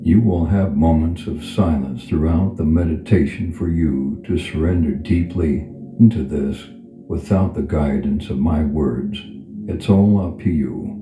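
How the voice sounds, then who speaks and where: A man guiding a meditation in a soothing, unhurried manner, leaving long pauses between phrases. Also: very deep voice. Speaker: meditation guide. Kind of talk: guided meditation. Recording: reverberant voice over clear background music. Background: background music.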